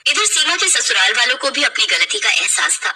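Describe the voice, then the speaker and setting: A woman with a high voice narrates a scripted story. There is clear noise in the background of the recording. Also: very animated, brisk.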